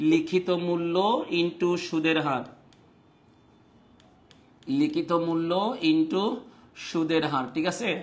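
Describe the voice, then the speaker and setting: A man's voice: on the high side, fairly bright tone. A man with a Bangladeshi accent teaching a live online class, repeating his points and often checking that students follow.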